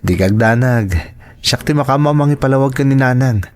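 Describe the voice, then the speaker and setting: A man's voice: medium pitch, brisk, dry recording, natural voice. Man acting devoted young Filipino lover, dry close sound. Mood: reassuring. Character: devoted young man in love, persuading his mother. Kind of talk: Ilocano audio drama acting.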